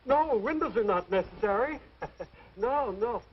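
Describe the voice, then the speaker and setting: An actor playing a fussy, apologetic hotel clerk in an old-time radio comedy. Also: male voice, very high voice, animated, unhurried. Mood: eager, obliging. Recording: dull, noisy old radio recording.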